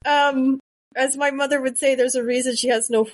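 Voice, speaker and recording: A woman's voice: sits high, flat delivery, moderate pace. A woman in her thirties, a podcast host and author, chatting casually and telling stories with quoted asides. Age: 30s. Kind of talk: casual conversation.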